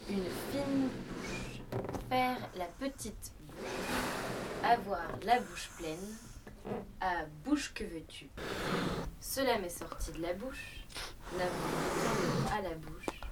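A woman reciting short phrases deliberately and separately, as narrator of an artistic radio sound piece. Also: mid-range voice, very noisy. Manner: deliberate, detached phrases, at times whispered.

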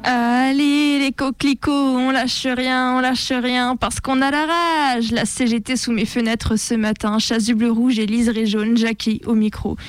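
A woman's voice: a little high, steady tone, unhurried, hardly any pauses, smooth, faint music behind. A woman with a French accent, co-hosting a radio show and reading a scripted chronicle. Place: radio studio.

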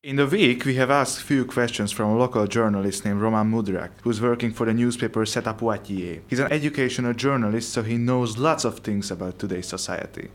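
Man presenting in a middle pitch. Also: fairly quick, hardly any pauses. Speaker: student radio presenter. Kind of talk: scripted narration.

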